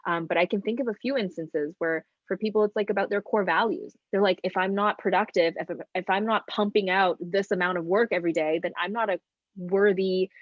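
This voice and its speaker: Low-voiced woman, dry dull sound. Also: no room sound. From China. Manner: thoughtful, measured explanations. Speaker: nutritionist guest. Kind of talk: casual podcast interview.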